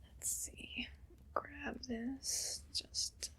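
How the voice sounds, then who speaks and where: Woman acting as imperious evil queen, very breathy whisper, creaky edges, condescending, ASMR roleplay. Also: high voice, fairly even intonation, pushed and bright, exaggerated voice, rough recording. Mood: condescending, indulgent. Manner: slow, deliberate, imperious and condescending. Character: haughty, condescending evil queen, faintly kind to servants.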